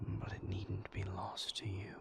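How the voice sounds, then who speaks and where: A man playing a moody, tender vampire lover in an intimate audio roleplay, speaking breathily in formal, measured phrases. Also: low-pitched, completely flat delivery. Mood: weary, tender. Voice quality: breathy, whispery.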